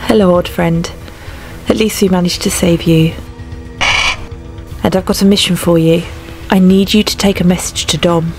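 A woman's voice: on the low side, steady tone, normal speed, long pauses, a little mellow, soft background music, lightly acted. Earnest young warrior princess, played by a woman. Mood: gentle. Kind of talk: fan dub voice acting.

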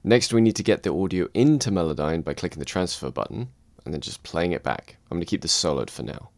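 A man calmly walking through a music production software tutorial, step by step. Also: sits low, fast, dry recording. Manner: calm step-by-step explaining. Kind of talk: screen-recorded software tutorial.

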